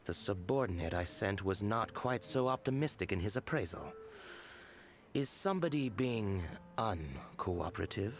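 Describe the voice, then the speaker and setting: An actor voicing a cold, menacing male agent of a sinister order in a video game, speaking in a measured, quietly threatening way. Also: on the low side, expressive, slight background noise.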